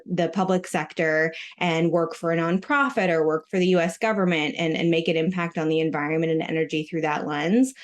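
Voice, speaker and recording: A woman in her thirties talks casually as a podcast guest. Her voice sits a little low for a woman, and her speech is chatty and loose, full of ums and likes. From born in Russia, raised in Maryland. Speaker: guest, retail executive. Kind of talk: casual interview talk.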